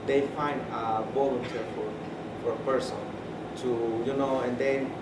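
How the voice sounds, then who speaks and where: A man's voice: medium pitch, level tone, a little slow. A man hesitantly at a community meeting, searching for words with many fillers. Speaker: community member. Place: community center room. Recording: echoey room, distant mic, loud background hum.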